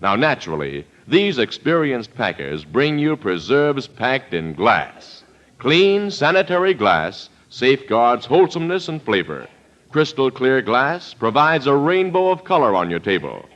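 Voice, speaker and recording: A man's voice: medium pitch, a bit bright. An American man announcing in 1940s radio style, reading scripted sponsor copy with a polished, florid delivery. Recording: muffled old radio broadcast, music behind.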